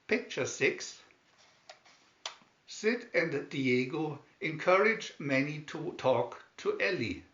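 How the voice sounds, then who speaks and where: A man reading a children's picture-story booklet aloud in scripted narration, deliberately and with pauses. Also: a little high, lively intonation, a bit bright. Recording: dull lo-fi, roomy echo.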